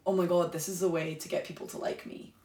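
A woman's voice: fairly low pitch, steady tone, fast, echoey room. A woman guest on a podcast telling her personal story in a flowing, candid way, full of "like" and "you know". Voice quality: warm, flowing delivery with many "like" and "you know" fillers. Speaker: guest, sacred singer.

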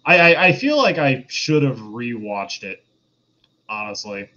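A man's voice: medium pitch, very animated, measured pace, sharp tone. A man co-hosting a podcast, casually reviewing in a hesitant way, repeating himself as he searches for words, on a lo-fi microphone. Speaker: podcast co-host. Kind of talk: casual chat, film review. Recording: dull lo-fi mic with faint noise.

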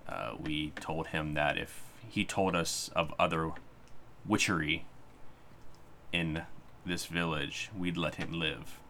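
A man running a tabletop game as game master, talking in a halting way with many pauses. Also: low-pitched, fairly bright tone, slight background noise. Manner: halting, full of uhs.

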